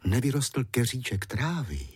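A tender, innocent little fairy, as a male storyteller puts her on. She speaks earnestly and gently in a raised, husky voice, in the manner of a storybook tale. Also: mid-range voice, sing-song, no echo, pitched above the performer's own voice. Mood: earnest pleading.

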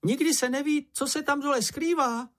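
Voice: mid-range voice, big pitch swings, breathy edge, voice pushed up. A narrator voicing a small, timid male animal who frets and complains in a frightened, whiny manner. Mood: panicky, reproachful. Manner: fretful, anxious, complaining.

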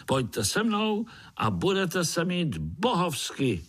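A man's voice: on the high side, wildly swooping pitch, a bit bright, breathy edge, voice pushed up, exaggerated voice. A man voicing an eager young spider hero from the forest in a storybook, proclaiming with enthusiasm. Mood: excited, triumphant.

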